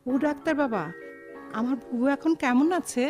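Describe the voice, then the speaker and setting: A high-pitched, devoted village woman played by an actress in a radio play. She is humble, anxious and plaintive, with a rural Bangladeshi accent and melodramatic delivery. Music plays softly behind her. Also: normal speed, lightly acted. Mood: worried. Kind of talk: Bengali radio drama acting.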